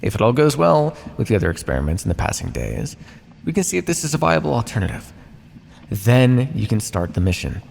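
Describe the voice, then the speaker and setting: A man acts out a sardonic vampire captor in an audio roleplay. His voice has a creaky, gravelly edge and moves animatedly up and down. It rings in a long reverberant space with faint noise behind it. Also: medium pitch, very animated, fairly quick, darkish tone. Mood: defensive, exasperated. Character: teasing, menacing male vampire captor, centuries old scientist. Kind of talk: ASMR roleplay acting.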